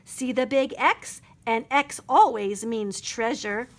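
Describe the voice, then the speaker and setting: Lady doing a proud earnest little boy animal in a picture book, high voice. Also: takes it slowly. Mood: eager, proud.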